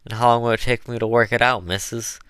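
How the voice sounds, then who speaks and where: A man's voice: sits low, fairly even intonation, normal speed, a bit bright, smooth, no echo. A man voicing an eager, good-humored woman, an enslaved cook, in folksy dialect for an audiobook. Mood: delighted. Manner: folksy dialect with mispronounced words. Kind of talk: audiobook character voice.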